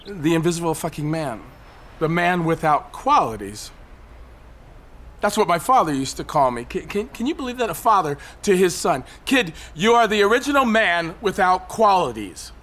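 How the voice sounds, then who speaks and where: An actor playing a bitter, paranoid loner on a high ledge in a naturalistic audio drama, speaking haltingly with defiant, cynical, profane edges. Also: male voice, sing-song, a bit bright. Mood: wounded.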